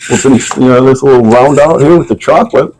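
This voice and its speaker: A man's voice: medium pitch, fast, darkish tone, a little hiss or hum, poor audio. A man from Lithuania, a sommelier guest, explaining things in casual conversation.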